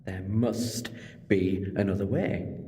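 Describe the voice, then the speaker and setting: A man's voice: low-pitched, fairly even intonation, dark tone, slight background noise. A man playing a clever, chatty alien time traveller in an audio drama, explaining things conversationally with moral earnestness. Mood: earnest, indignant. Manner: expository, conversational, quick to digress. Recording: long reverberant hall.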